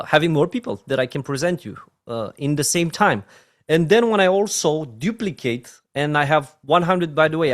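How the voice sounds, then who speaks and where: A man with a Romanian accent and a fairly high voice gives a training talk. He talks in emphatic bursts and keeps checking in with his listeners. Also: fairly bright tone. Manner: emphatic, often asks "right?" and "my friend". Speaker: network marketing trainer.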